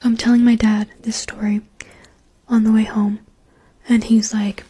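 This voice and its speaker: Soft whispering woman telling a story. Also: a little high, flat delivery, a little slow, breathy edge. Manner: whispering softly throughout; chatty, lots of "like" and "um".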